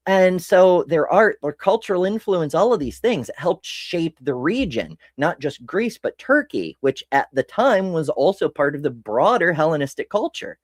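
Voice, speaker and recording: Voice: medium pitch, dry recording, clean studio sound. An American host giving casual history commentary, rambling and self-interrupting with casual swearing. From United States.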